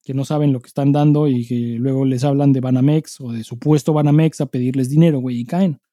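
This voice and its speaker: Mexican man, notably mellow, slangy podcast host. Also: mid-range voice, steady tone, a little fast, dry recording, studio quality. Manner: informal, heavy slang and swearing. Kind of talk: casual conversational storytelling.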